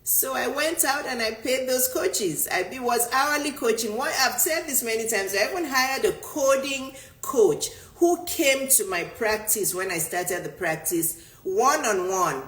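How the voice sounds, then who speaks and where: A woman's voice: fairly high pitch, animated, a little slow, hardly any pauses, fairly bright tone. Emphatic Yoruba-speaking woman coach, roomy sound. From Nigeria. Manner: emphatic, repeats points for stress. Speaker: business coach. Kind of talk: motivational talk answering a viewer. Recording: roomy echo.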